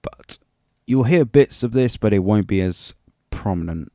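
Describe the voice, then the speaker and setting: A man's voice: on the low side, lively intonation, unhurried, dark tone, no echo, clean studio sound. A man presenting a casual, unscripted music production tutorial, rambling hesitantly and a little self-deprecating.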